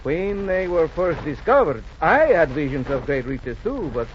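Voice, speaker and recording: A dull, hissy old radio drama carries a hospitable, shrewd rancho don. His Spanish-accented English is courtly and halting, with a highish, very animated pitch. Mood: sly, amused. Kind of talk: 1940s radio acting.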